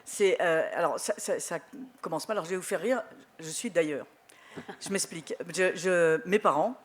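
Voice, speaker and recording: A woman from Belgium, a journalist guest on a festival panel, telling animated anecdotes with wry humor. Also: on the low side, moderate pace. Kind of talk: live panel conversation.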